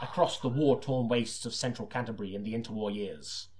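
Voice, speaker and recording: A man playing a brooding hard-boiled detective, narrating in a grave, deadpan, portentous noir style. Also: medium pitch, fairly quick, background noise, natural voice. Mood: grim, deadpan.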